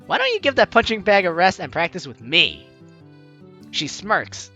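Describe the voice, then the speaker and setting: A man with a bright, forceful voice hosts a let's play over game music. He reads the story text aloud and breaks into jokey, exclamatory commentary, his pitch leaping around theatrically. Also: wildly swooping pitch, normal speed, highs cut off. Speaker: gaming video host.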